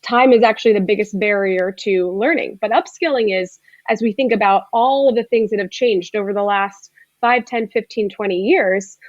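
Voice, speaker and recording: A woman in her thirties, a startup co-founder, giving clear, explanatory answers in a conversational interview. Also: mid-range voice, moderate pace, no room sound, muffled recording. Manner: articulate, explanatory, frequent "you know".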